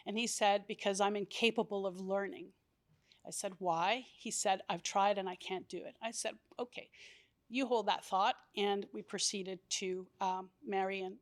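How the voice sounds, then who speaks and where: Middle-aged woman telling a family story. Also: medium pitch. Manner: lively anecdotal telling, quoting dialogue. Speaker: interviewee. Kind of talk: personal storytelling. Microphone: stand mic close by.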